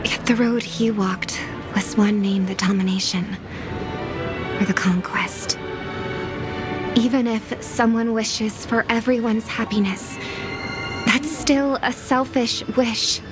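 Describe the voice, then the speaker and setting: An actress voicing an earnest young woman who speaks calmly and sincerely about her brother, in a game scene with music behind her. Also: on the high side, slow, pauses often. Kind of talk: game voice acting. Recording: game dialogue over music.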